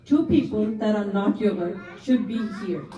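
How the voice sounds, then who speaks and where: Middle-aged woman, native Urdu speaker, earnest community talk, mellow tone, echoey hall, distant mic. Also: medium pitch, animated, moderate pace, lo-fi sound. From Canada resident. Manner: earnest, often adds "right?". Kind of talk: speech to a women's gathering.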